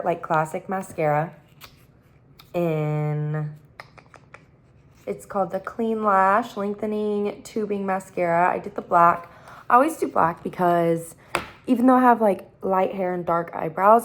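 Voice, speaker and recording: Hoarse bubbly young woman vlogger. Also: mid-range voice. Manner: bubbly, rambling, lots of fillers. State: hoarse, recovering from illness. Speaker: beauty vlogger. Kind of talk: casual chatty vlog. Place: at home, sitting on the floor. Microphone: phone camera.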